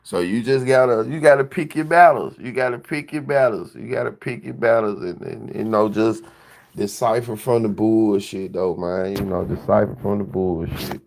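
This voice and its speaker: A man hosts a casual livestream chat with an easygoing, slangy delivery. His voice sits on the lower side, and he circles back over the same phrases as he talks. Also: moderate pace, gravelly, a little mellow. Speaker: livestream host.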